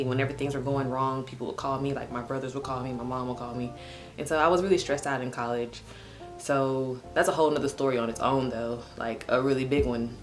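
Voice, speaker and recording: Low-voiced woman in her twenties, chatty vlog. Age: 20s. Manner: candid and chatty, heavy use of "like" and "um". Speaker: vlogger. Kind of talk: casual personal talk to camera.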